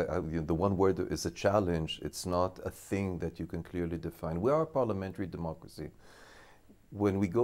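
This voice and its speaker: A man in his fifties who grew up in Israel, a school director speaking as an interview guest, thinking aloud with halting restarts. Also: sits low, dark tone. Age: 50s. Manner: thoughtful, halting, restarts while reasoning. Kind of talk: studio interview.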